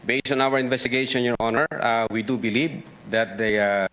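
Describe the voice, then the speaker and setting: A man with a Filipino accent giving formal testimony as an investigator, halting, deferential and careful to avoid direct answers. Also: medium pitch, fairly even intonation, a bit bright. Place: hearing room. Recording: noisy hearing-room ambience.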